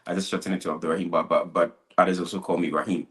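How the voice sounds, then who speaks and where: A young man with a Ghanaian accent speaks as a guest in an interview. His voice is low. He answers in a casual, conversational style. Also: little pitch movement, rapid-fire. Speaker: interview guest, student abroad.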